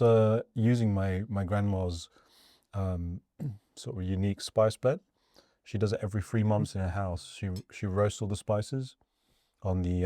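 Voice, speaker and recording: A man's voice: lowish voice, warm tone, no room sound, studio quality. A man from Wales hosting a casual interview over a meal, talking in an informal, conversational way. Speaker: interviewer and host serving food.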